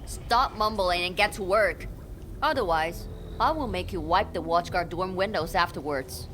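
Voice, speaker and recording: A woman's voice: on the low side, moderate pace, noisy background, lightly acted. A woman voicing a curt young woman officer in a game, dry, teasing and no-nonsense. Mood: stern. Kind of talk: anime game voice acting. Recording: game music behind.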